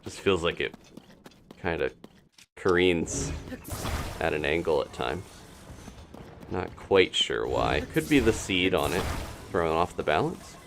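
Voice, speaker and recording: A man's voice: low voice, very animated, measured pace, long pauses, background noise. A man giving casual live video game commentary as a streamer, musing to himself and thinking aloud as he plays.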